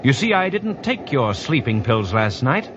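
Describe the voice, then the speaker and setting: An actor playing a bitter, suspicious young husband, nervous and coldly resigned, in an old-time radio drama. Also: male voice, sing-song, a little slow. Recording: noisy muffled old radio recording, rumbling background.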